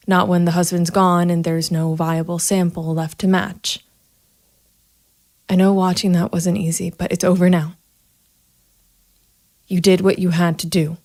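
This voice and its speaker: A woman's voice: fairly low pitch, darkish tone, in a large hall. An actress playing a cold, methodical female private investigator and vigilante, narrating a noir audio drama in a hard-edged, matter-of-fact way. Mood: cool, commanding. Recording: music bed behind the voice.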